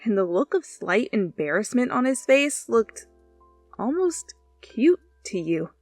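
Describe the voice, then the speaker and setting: A woman reading fan fiction aloud as an expressive second-person story narration. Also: a little high, unhurried, dry recording, faint music behind.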